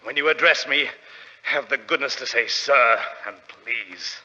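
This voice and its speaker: An actor playing a pompous, indignant criminal with imperious manner in a 1940s radio drama. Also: male voice, mid-range voice, a little slow, pushed and bright. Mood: indignant, haughty. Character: haughty, indignant male London criminal claiming royal blood. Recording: muffled reverberant old radio recording.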